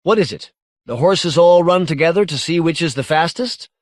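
A man's voice: fairly high pitch, lively intonation, normal speed, dry recording, lightly acted. A man voicing a curious, courteous space lord in an audiobook, formal yet casually probing.

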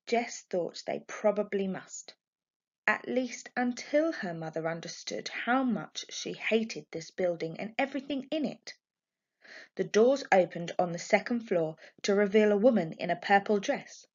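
Female storybook narrator, mid-range pitch, expressive and deliberate, on a slightly muffled home recording. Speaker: primary school teacher reading a children's book aloud.

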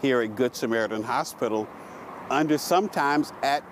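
A man's voice: mid-range voice, normal speed, a little hiss or hum. A man in his seventies, a county official, speaking earnestly in an outdoor interview with some fillers. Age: 70s. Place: outdoors in a city plaza.

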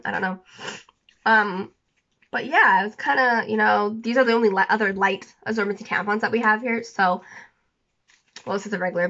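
A woman's voice: mid-range voice, sharp tone, highs cut off. A young woman vlogging casually from her bedroom, rambling with lots of fillers and restarts, a bit sniffly with a scratchy throat. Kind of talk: rambling casual chat to camera. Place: small bedroom indoors.

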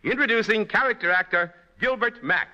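A man's voice: high-pitched, a little fast. A man hosting a 1940s radio show, announcing and narrating from a script. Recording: dull, noisy old radio recording, long reverb.

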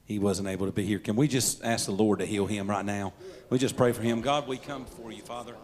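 A man's voice: on the low side, steady tone, fairly quick, darkish tone. A man speaking earnestly as a church leader, asking a congregation to pray and leading the prayer. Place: church sanctuary. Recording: reverberant church hall.

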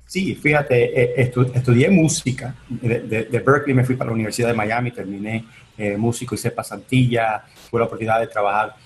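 A man's voice: mid-range voice, steady tone, fairly quick, a little hiss or hum, rough recording. A man in his forties, born in Venezuela and raised in the United States, answering interview questions in a chatty way with many restarts over a video call. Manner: chatty, many fillers and restarts, gestures while talking. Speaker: entertainment attorney, guest. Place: home office on video call.

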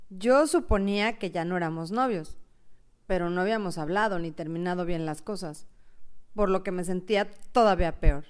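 A heartbroken young woman, played by an actress, narrates her own life in a radio novel. Her voice is very clear and resonant, sitting at a middle pitch, and she sounds anxious and personal as she tells it. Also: lightly acted.

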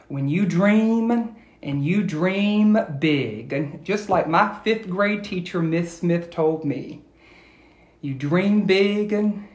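Slow-paced man reading to children. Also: high voice, darkish tone. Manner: addresses young listeners directly, encouraging. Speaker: educator reading aloud to schoolchildren. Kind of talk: expressive children's read-aloud. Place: indoor room at home. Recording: echoey room, phone video.

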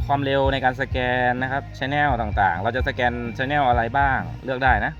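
Low-voiced man, muffled demo recording. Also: moderate pace, a bit bright. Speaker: product trainer demonstrating network testers. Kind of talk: informal hands-on product walkthrough. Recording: muffled lo-fi audio, music underneath. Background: background music.

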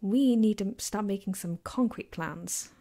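A woman playing a caring, affectionate girlfriend in an ASMR comfort roleplay, speaking softly and gently with hesitant, reassuring warmth. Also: medium pitch, unhurried. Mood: playful, relaxed. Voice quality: soft, gentle, sometimes dropping to a whisper.

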